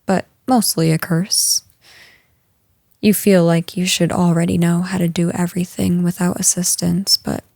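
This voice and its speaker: A woman playing a caring female boss in an intimate audio roleplay, speaking gently and earnestly with hesitant pauses. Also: mid-range voice, vocal fry, no room sound, clean studio sound.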